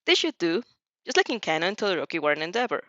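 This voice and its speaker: A woman's voice: fairly low pitch, sing-song, quick pace, bright, forceful tone, dry recording. Woman reading fanfiction, dull dry recording. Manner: dramatic, stumbles over words and repeats them. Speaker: fan fiction reader and dubber. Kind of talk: expressive audio fanfiction narration with character voices.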